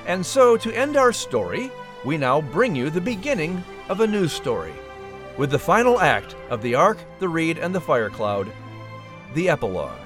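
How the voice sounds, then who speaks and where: A man's voice: a little high, sing-song, normal speed, a bit bright, soft background music. A man hosting and narrating a scripted story for a family audiobook show. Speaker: host and narrator.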